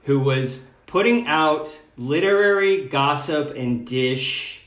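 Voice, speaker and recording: A man's voice: fairly high pitch, expressive, takes it slowly, fairly bright tone. A man speaking as a poet and podcast guest in a casual literary interview, candid, wry and chatty. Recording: echoey, roomy sound.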